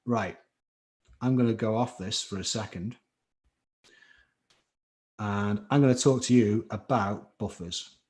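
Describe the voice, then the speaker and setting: Chatty Scouse chemistry tutor. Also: male voice, lowish voice, takes it slowly, long pauses, a little mellow. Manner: chatty, encouraging, reads out chat names. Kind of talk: live online class teaching.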